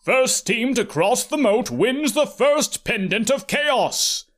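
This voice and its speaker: A man voicing a giant temple idol as a booming, bombastic game-show announcer in an audiobook. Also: expressive, heavily acted. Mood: grandly commanding. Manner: bombastic, drawn-out proclamations.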